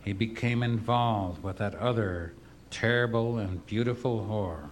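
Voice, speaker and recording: A man reading his poems aloud to an audience, drunk, gruff and profane, rambling between pieces. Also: lowish voice, a little slow, heavy background noise. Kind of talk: live poetry reading with rambling asides.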